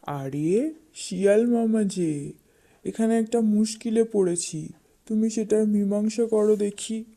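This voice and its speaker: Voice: medium pitch, slow pace, warm tone. An actor voicing an ungrateful, hungry tiger in a children's cartoon fable, with a blunt, insistent way of talking. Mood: explaining. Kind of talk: children's animated fable dialogue.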